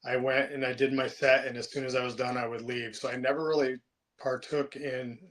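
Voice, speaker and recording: A man in his late fifties answering interview questions in a relaxed, chatty way, often pausing with ums and restarting his sentences. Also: medium pitch. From United States. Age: 50s. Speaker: interview guest. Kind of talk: casual interview chat.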